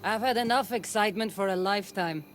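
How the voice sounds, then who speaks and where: A woman's voice: mid-range voice, quick pace, natural voice. Woman acting a wry mercenary heroine. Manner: dry, sardonic, casual. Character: wry, weary Greek mercenary woman in her prime. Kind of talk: video game cutscene acting. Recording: music behind the voice. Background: music.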